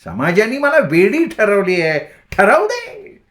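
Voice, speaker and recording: A man voicing an eccentric middle-aged village woman who lectures boys on gambling in a slow, sing-song, theatrical way. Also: high-pitched, huge pitch swings, a bit bright, pitched above the performer's own voice, heavily acted. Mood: preachy, cackling.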